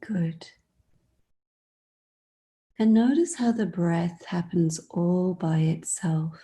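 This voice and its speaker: A woman leading a guided meditation in a soft, sometimes breathy voice, soothing and gentle, leaving long pauses between phrases. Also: on the low side.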